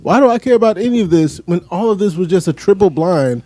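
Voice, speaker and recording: Mellow-voiced man picking apart a film. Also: high voice, expressive, quick pace, no room sound. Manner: analytical, overthinks, frequent restarts and "like". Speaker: podcast co-host, film critic. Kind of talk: casual movie review chat.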